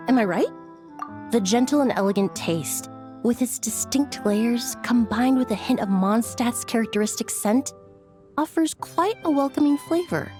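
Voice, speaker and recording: A woman's voice: on the high side, darkish tone, close to an everyday voice. An actress playing a refined, courteous young woman in a video game, speaking with polite, precise formality. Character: refined, courteous young female perfumer with discerning tastes. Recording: faint music behind the voice. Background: faint game music.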